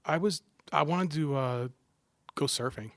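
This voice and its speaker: A man's voice: fairly high pitch, no echo, clean studio sound. Middle-aged man, joking storyteller. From Southern California. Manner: animated, self-deprecating jokes, quick asides. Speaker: guest, psychology professor. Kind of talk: casual interview storytelling.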